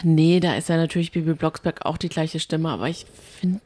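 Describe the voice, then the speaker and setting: Lively woman co-host with a low voice. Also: dry recording. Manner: lively, opinionated, quick reactions and asides. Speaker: podcast co-host. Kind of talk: casual chat about a radio play series.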